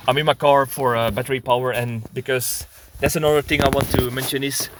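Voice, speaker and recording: A man's voice: medium pitch, level tone, a little fast, noisy background. A man with a Belgian accent talks casually in a rambling vlog style, hesitating and searching for words. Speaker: prepper video blogger. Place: inside a parked car.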